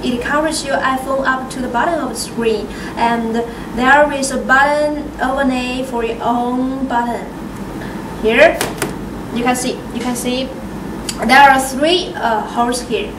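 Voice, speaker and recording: Female product demonstrator, slightly high pitch, halting non-native delivery, echoey indoor recording with noticeable noise. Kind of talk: product demo presentation. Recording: roomy, distant mic, clear background noise.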